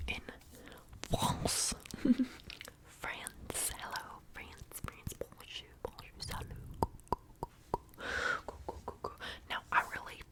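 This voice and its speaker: A young woman whispering close to the mic in an ASMR video, repeating words slowly and chatting playfully to her viewers. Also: high voice. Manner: whispered, soft and rambling, repeating words over and over. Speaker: ASMR creator.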